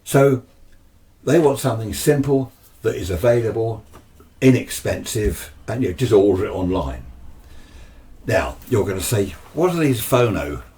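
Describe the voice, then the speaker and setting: A man's voice: fairly low pitch, expressive, unhurried, mellow. A British man giving a casual, chatty explanation, often asking himself questions and answering them. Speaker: amateur radio video presenter. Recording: slightly distant microphone.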